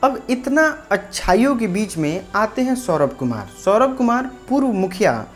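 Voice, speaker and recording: A woman's voice: mid-range voice, big pitch swings, moderate pace. A woman presenting a local news report, narrating and speaking directly to viewers. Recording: faint music bed behind voice.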